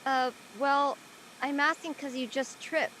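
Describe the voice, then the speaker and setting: A young woman playing a practical seventeen-year-old high school girl in an audio play, casual and matter-of-fact. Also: fairly even intonation, measured pace, pushed and bright, a little hiss or hum, rough recording. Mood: casual concern. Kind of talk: conversational acting in an online audio play.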